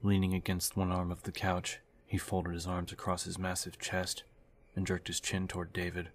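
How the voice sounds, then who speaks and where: A man's voice: deep voice, monotone, moderate pace, slight background noise. A man reading scripted dramatic narration for an audiobook. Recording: music behind the voice.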